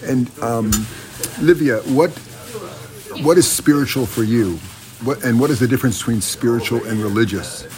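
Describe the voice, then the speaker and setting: A man working as a radio host, interviewing pilgrims outdoors in a small village, asking short questions between many pauses. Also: medium pitch, a crowd in the background, rough recording. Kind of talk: field interview on a walking trail.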